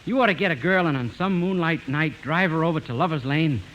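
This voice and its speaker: A man's voice: fairly high pitch, brisk, fairly bright tone, highs cut off, lightly acted. An actor playing a naive, earnest young man devoted to his mother in a 1950s radio comedy. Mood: innocent recounting. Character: naive, boyish, earnest young mama's boy singer. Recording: hissy 1950s radio recording.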